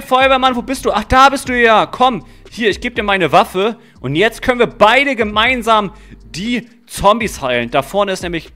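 A young man narrating a game role-play dramatically and excitedly, full of exclamations, close to the microphone. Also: on the high side. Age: young adult. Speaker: gaming video host and player. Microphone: close microphone, seen. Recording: music under the voice. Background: music.

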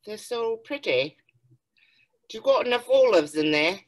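A woman co-hosting a podcast, playing along in a put-on accent with slow, playful chat. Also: medium pitch, animated. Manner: slow and playful, joining in the bit. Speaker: podcast co-host.

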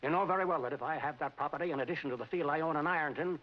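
An actor playing a hard, threatening older crooked boss with a sneering, domineering manner in a 1940s film. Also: male voice, a little high, rapid-fire, lightly acted. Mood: scornful. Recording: dull 1940s film soundtrack with music.

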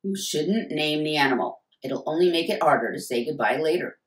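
A stern, commanding father, as a woman narrator puts him on, his voice pressed lower than her own. He gives firm orders, and the recording carries a roomy echo. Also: expressive, brisk. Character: stern middle-aged father, strict and fuming.

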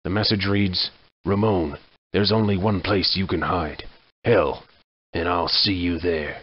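Game hero, male actor as sardonic frontier lawman: raspy, lowish, terse and wry, on slightly dull audio. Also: fairly even intonation, unhurried. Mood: grim, vengeful. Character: gruff, sardonic Wild West sheriff gunslinger hero. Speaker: voice actor for a game mod protagonist. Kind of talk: video game character one-liners.

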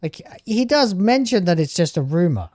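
A man's voice: high-pitched, sing-song, moderate pace, darkish tone, no room sound, studio quality. A man in his thirties streaming from home on a headset mic, reacting to video clips in casual, argumentative commentary. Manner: argumentative, talks back at the clips.

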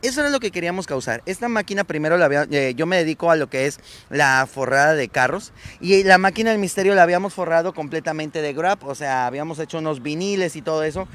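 A man's voice: on the high side, fast talker. A man in his thirties, born in Colombia and raised in Mexico, a clown talking casually and slangily outdoors into a held microphone. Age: 30s. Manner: relaxed, slangy and chatty. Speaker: clown and guest. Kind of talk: casual chat. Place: seaside street outdoors in sunshine. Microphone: interviewer's handheld microphone.

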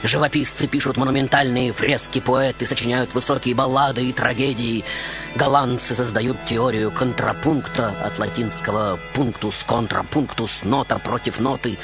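A man's voice: mid-range voice, steady tone, moderate pace, lightly acted. A man playing a proud, flamboyant baroque composer recounting his life in a grandiose, ironic radio monologue. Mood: lofty musing. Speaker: radio show host. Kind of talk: theatrical first-person radio monologue. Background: music behind the voice.

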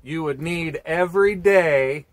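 A man's voice: on the high side, takes it slowly, no echo. A man in his thirties chatting casually in a laid-back, rambling way, sitting outdoors on a sunny porch and filmed by a camera a few feet away. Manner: laid-back, rambling, plain country talk. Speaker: homesteading vlogger. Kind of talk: casual chat. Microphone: camera on a tripod a few feet away.

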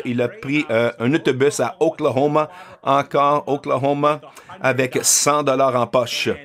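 A man with an ordinary middle-pitched voice interprets a keynote speech live over a video call. He talks hesitantly, stopping often on filler sounds and restarting his phrases. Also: a bit bright.

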